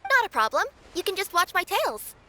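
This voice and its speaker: A woman's voice: very high voice, fairly quick, very noisy. A woman voicing an earnest, easily flustered young cat-girl courier in an English anime game dub. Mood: earnest, businesslike. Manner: earnest, emphatic, animated.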